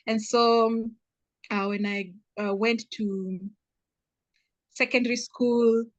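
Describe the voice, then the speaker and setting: A young adult woman from Kenya with a Kenyan accent, a guest telling her life story with many pauses and restarts. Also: fairly high pitch, steady tone, no echo, muffled recording. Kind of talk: casual interview answers.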